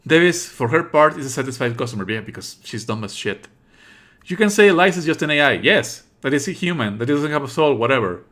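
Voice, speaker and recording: A man's voice: fairly high pitch, sing-song, fast, fairly bright tone. A man streaming a let's play, reading on-screen text aloud and adding sarcastic, exasperated asides. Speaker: streamer playing a visual novel.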